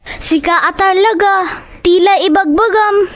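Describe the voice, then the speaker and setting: A woman acts a gentle schoolgirl friend in an audio drama. The voice is extremely high and slow, sweet and reassuring. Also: no room sound, clean studio sound. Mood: friendly. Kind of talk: Ilocano audio drama acting.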